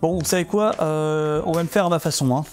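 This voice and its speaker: High-voiced chatty man in a fossil-filled room. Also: a little fast, over loud music. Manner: chatty, joking, many fillers and restarts. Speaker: YouTube host. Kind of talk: casual sponsored explainer vlog. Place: small room full of fossils and posters.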